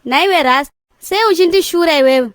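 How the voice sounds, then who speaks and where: High-pitched indignant Zimbabwean cartoon wife, woman acting. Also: brisk, no room sound, clean studio sound, lightly acted. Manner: scolding, indignant. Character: indignant married woman, sharp-tongued neighbour.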